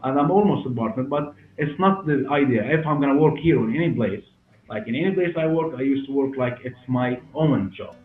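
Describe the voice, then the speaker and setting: A man in his forties with a Syrian Arabic accent, answering interview questions in halting, repetitive non-native English. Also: mid-range voice. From Damascus, Syria. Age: 40s. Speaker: hair clinic director and interview guest. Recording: roomy, muffled, narrow sound with music behind.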